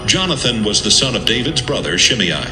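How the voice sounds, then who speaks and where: A man narrating an audio Bible from a script in a measured, serious manner. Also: mid-range voice, sing-song. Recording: roomy sound with music underneath.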